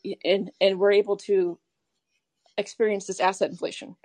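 A woman hosts a call-in podcast and chats casually about politics. Her pitch sits in a middle range. She rambles, circles back and restarts her sentences often. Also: flat delivery, normal speed, a little mellow, dry recording. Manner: rambling, many restarts and fillers. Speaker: podcast host.

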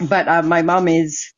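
A woman's voice: lowish voice, bright, forceful tone, slight background noise. A woman of forty from Canada, hosting a stream and chatting candidly with a caller, laughing often. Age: 40s. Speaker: stream host. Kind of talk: casual chat with a caller.

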